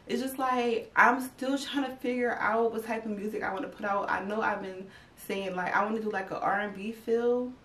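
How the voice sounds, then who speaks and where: A woman's voice: fairly high pitch, steady tone, normal speed, hardly any pauses, fairly bright tone, roomy sound, far from the mic, a little hiss or hum. A young woman chatting casually to her viewers, slightly congested and stuffy, rambling with lots of fillers. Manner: rambling, filler-heavy, talks straight to viewers. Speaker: YouTube vlogger. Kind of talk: casual chat to camera.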